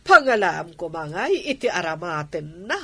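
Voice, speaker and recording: A woman's voice: lowish voice, big pitch swings, measured pace, bright, forceful tone, husky, no echo, exaggerated voice. A woman playing a nosy, ambitious middle-aged mother in a radio drama, with an Ilocano accent. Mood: prying.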